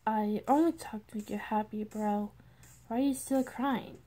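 A woman voicing a subdued cartoon rabbit father in an amateur comic dub, quiet, halting and reluctant. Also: on the high side, pitched below the performer's own voice, natural voice. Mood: downcast, reluctant. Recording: background music under the voice. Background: music.

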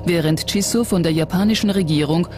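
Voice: medium pitch, a little fast. Serious documentary narrator over music. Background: music under the voice.

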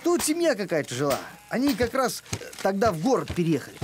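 Goofy, eager cartoon dog; animated pitch swings, slight background noise. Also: male voice, fast. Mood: matter-of-fact, cheeky. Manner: eager, naive. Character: simple, cheeky, easygoing male dog.

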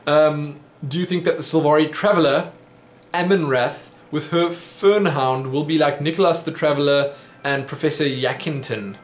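A young South African man talks into a desk webcam, and his voice sits a little high for a man. He rambles through viewer questions in a loose, chatty way, with plenty of ums. The small room gives off a noticeable echo. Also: steady tone, measured pace. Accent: South African English. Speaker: vlogger answering viewer questions. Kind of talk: casual Q&A monologue. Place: small home office room.

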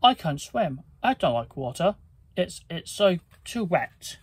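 A man's voice: fairly high pitch, big pitch swings, measured pace, breathy edge, dry recording, heavily acted. A man voicing a cowardly male thief in a storybook, stammering and pleading. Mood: panicked.

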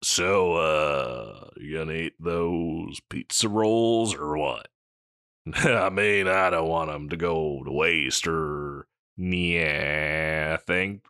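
A man playing a scruffy, insecure slacker catboy pet in a comedic audio roleplay, with a halting, mumbly dude drawl. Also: extremely low pitch, bright, forceful tone, clean tone, no room sound, clean studio sound, heavily acted. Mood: laid-back, teasing.

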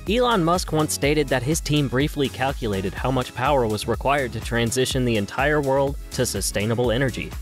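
Male narrator, mid-range pitch, scripted explainer read with faint music bed. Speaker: video narrator. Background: background music.

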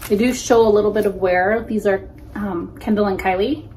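A woman's voice: mid-range voice, fairly even intonation, normal speed, a little mellow, a little hiss or hum, poor audio. A woman in her forties chatting casually on camera with lots of ums as she looks over used shoes, in a small room. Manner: chatty, easygoing, frequent ums. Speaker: reseller vlogger. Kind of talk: casual unboxing commentary. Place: small indoor room against a plain wall.

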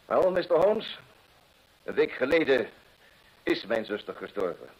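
An actor playing a proud, desperate gentleman landowner in an old radio drama, husky, earnest and theatrical. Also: medium pitch, very animated, unhurried, low-quality recording. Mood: anxious, pleading. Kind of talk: old-time Dutch radio drama acting.

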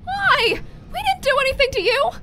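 A woman's voice: very high voice, lively intonation, moderate pace. A woman voicing a brave, indignant little skeleton boy, childlike and pleading, in a comic dub. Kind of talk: dramatic comic dub voice acting.

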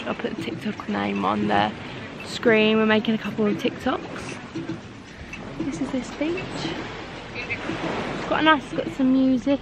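A woman's voice: a little high, gravelly, noisy background. A woman in her twenties with a British accent, chatting casually to a handheld camera as a travel vlogger. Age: 20s. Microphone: camera at arm's length.

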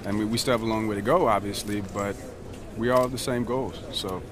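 A man's voice: lowish voice, steady tone, brisk, crowd noise, close to an everyday voice. A young man playing a confident, laid-back basketball rookie in a video game cutscene, talking casually with dry humor and slang. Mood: dry, easygoing. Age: young adult.

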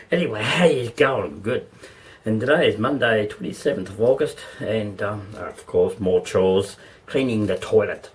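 A middle-aged Australian man with a hoarse voice, chatting casually to camera in a home vlog and laughing often between remarks. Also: on the low side, unhurried. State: hoarse, losing his voice. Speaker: vlogger. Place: home, indoors and in a backyard. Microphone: camera mic.

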